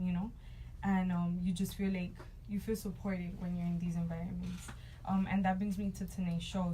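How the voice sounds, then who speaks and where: A woman's voice: fairly low pitch, dark tone, slight background noise, rough recording. A woman hosting a talk show, speaking upbeat and conversational, with frequent ums and likes. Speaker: host.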